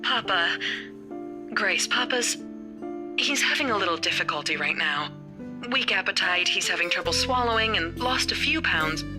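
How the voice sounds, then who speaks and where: Woman acting a tired, gentle middle-aged mother. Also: medium pitch, close to an everyday voice. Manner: tired lilt, hesitant. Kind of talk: visual novel character dialogue. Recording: guitar music behind. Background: guitar music.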